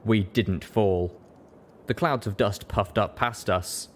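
A man's voice: fairly low pitch, takes it slowly, slight background noise. A man reading scripted story narration as an audiobook narrator. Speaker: audiobook reader.

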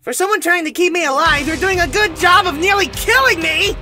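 A man voicing a young male hero in a fan audio drama, sarcastic and excitable. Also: extremely high pitch, brisk, heavy reverb, recorded from a distance. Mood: alarmed. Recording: music score behind.

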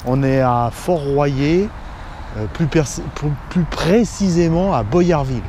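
A man's voice: a little high, slow, a crowd in the background. A middle-aged man hosting a casual travel vlog, jokey and chatty, talking straight to viewers, filmed outdoors on an action camera at arm's length. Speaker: vlogger host. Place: outdoors at a campsite and on a beach. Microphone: action camera held at arm's length.

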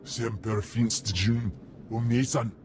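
An actor playing a horned alien minister in a video game. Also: male voice, medium pitch, fairly even intonation, slow pace, over loud music, natural voice. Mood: formal. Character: horned alien male minister speaking an alien tongue. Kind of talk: video game character acting.

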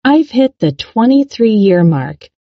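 A woman narrating a scripted English lesson in a clear, upbeat, instructional way. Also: a little high, sing-song, measured pace, dry recording, highs cut off.

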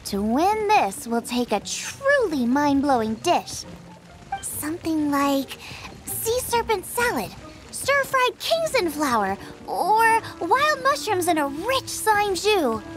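A woman's voice: extremely high pitch, big pitch swings, slow pace, music in the background, exaggerated voice. A woman voicing an eager, cheerful young girl chef in a video game, with an enthusiastic, confident manner. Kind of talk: animated video game dialogue.

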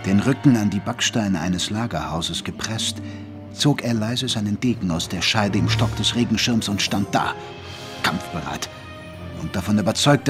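A man's voice: fairly low pitch, lightly acted. A man playing a grave, literary Victorian chronicler, narrating an audio drama in a solemn storytelling manner. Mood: ominous, hushed.

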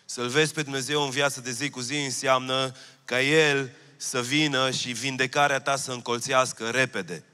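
Bright-voiced man preaching in a hall. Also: mid-range voice, clear, resonant tone. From Romania. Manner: earnest preaching, repeats key phrases, gestures while speaking. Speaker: pastor. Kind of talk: sermon. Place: church stage, large hall. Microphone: handheld mic. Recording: long hall reverb.

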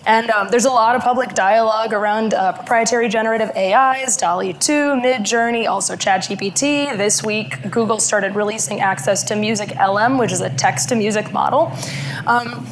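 Hoarse female speaker, 30s, halting conference talk at a podium mic, faint room hum. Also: mid-range voice, low-quality recording. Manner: halting, with fillers. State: hoarse from laryngitis.

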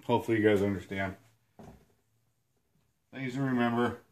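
A man in his thirties, hoarse and sniffling from a cold, giving a rambling, self-deprecating whiteboard lesson at home. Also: mid-range voice, normal speed. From Illinois. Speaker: outdoors channel host. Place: small room at home, indoors.

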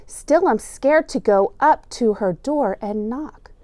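A middle-aged woman reads a children's story with a teacher's deliberate expression. Her voice sits a bit high and moves at a measured, slowish pace, leaning on key words. The sound is close and dry. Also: animated, dark tone, no echo. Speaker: second grade teacher reading aloud. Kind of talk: children's picture book read-aloud.

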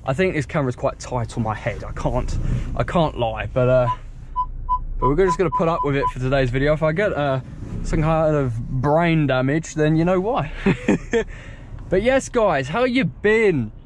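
Young man, British accent, slightly high pitch, informal rambling vlog chat, in-car recording, faint vehicle noise. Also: animated, normal speed. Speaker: car vlogger. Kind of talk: casual chat to viewers while driving. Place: inside a car. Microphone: head-mounted action camera.

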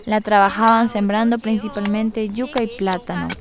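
A woman's voice: medium pitch, little pitch movement, moderate pace, crowd noise. A woman, narrating a scripted first-person documentary radio story.

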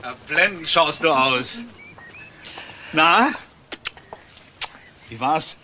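An actor playing a composed, articulate businessman in a German crime radio drama, speaking in a measured way. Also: fairly high pitch, expressive, heavy background noise. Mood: attentive, concerned.

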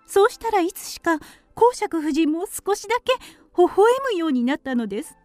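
A ladylike little songbird narrates in an audio drama. The voice is high and lively in pitch, with polite, genteel phrasing. Music plays behind it. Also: moderate pace, no room sound. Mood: earnest recounting. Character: refined, ladylike female canary telling her life. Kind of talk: Japanese radio drama narration.

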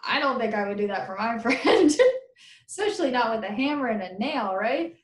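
An American woman in her thirties reading a children's book aloud as a teacher, pausing to explain and ask listeners questions in simple words. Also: fairly high pitch, expressive. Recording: roomy, echoing webcam recording.

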